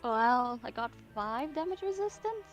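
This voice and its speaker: A woman's voice: fairly high pitch, very animated, unhurried. A woman playing a tabletop role-playing game, chatting casually in a tentative, giggly way. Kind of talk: casual chat. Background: background music.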